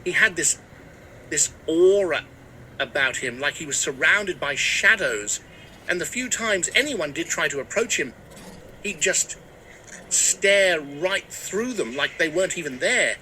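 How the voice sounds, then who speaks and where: A man acts out a superstitious old village historian in an audio mystery drama. The voice is sharp, forceful and a little high, with hesitant, folksy storytelling over faint background noise. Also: lively intonation, unhurried, heavily acted, rough recording. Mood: hushed awe. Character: superstitious old male small-town local historian. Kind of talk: scripted mystery drama interview.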